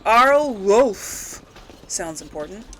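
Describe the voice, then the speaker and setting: A woman giving casual let's play commentary to her audience, rambling and thinking out loud. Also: mid-range voice, expressive, extremely slow, slight background noise. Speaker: host of a gaming role-play series.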